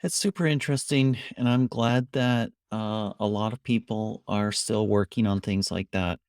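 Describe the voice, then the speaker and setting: A man's voice: fairly low pitch, fairly even intonation, normal speed, a little mellow, no echo, clean studio sound. A middle-aged man hosting a tech show, asking curious, conversational questions from his home office over a video call.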